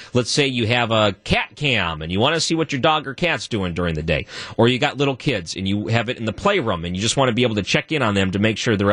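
A man with a deep voice, guest hosting a talk radio show and arguing his point alone, his voice jumping higher when he gets worked up. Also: no room sound. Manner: animated, voice jumps high when worked up. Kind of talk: solo talk radio monologue.

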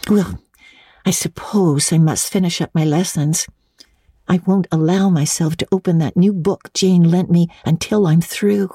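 A woman's voice: lowish voice, steady tone, a little slow, mellow, breathy edge, no echo, clean studio sound, pitched above the performer's own voice. A woman voicing a dreamy, talkative young girl in an audiobook, rambling eagerly in long breathless runs. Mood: chattering, earnest. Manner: breathless rambling girlish chatter. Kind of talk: audiobook reading.

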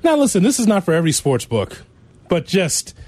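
A man's voice: high-pitched, wildly swooping pitch, fairly quick, a little mellow, dry recording. A man hosting a sports radio show, joking, laughing often and prompting his co-hosts in casual banter.

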